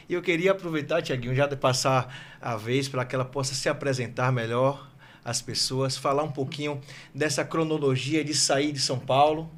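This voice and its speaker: A man's voice: medium pitch, a bit bright. A man hosting a podcast who gives a long, rambling introduction of the guest, with asides along the way. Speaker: podcast host.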